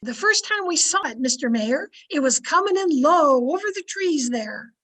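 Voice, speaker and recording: An actress playing a folksy, sociable, wry wife in an old-time radio comedy, polite and gently teasing. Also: female voice, high-pitched, lively intonation, moderate pace.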